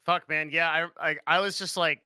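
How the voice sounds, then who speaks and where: A man talking on a podcast as a guest, halting and self-conscious, swearing often. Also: on the high side, steady tone, sharp tone, clean tone, no room sound, studio quality. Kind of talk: casual podcast chat.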